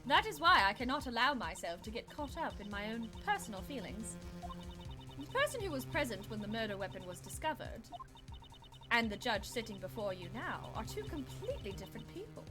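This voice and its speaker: A woman voicing a calm, impartial female judge in a courtroom game, speaking formally and with measure. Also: a little high, a little fast, over music. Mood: composed.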